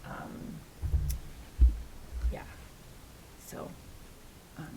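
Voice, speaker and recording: A woman's voice: extremely slow, in a whisper, rough recording. A woman on a school committee speaking hesitantly, with many fillers, during a meeting in a meeting room. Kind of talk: meeting discussion.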